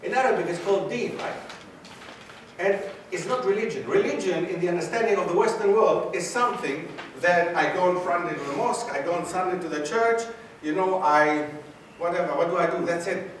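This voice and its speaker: A man's voice: high-pitched, fairly quick, a little hiss or hum. A man giving a lecture to a student society, questioning the audience and repeating his key points. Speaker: guest lecturer. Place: lecture hall. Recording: very echoey hall from far off.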